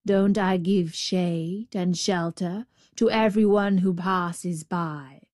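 A woman's voice: fairly low pitch, fairly even intonation, slow pace, darkish tone, dry recording, clean studio sound. A woman voicing a cold, scornful, reproachful tree in a storybook tale. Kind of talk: storybook character voice.

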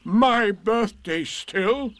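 A man voicing a gloomy old donkey in a storybook, speaking in a ponderous, drawn-out, sardonic way. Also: high-pitched, lively intonation, a bit bright, voice pushed up, heavily acted. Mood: doubtful, slow. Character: gloomy old male donkey, slow and doleful.

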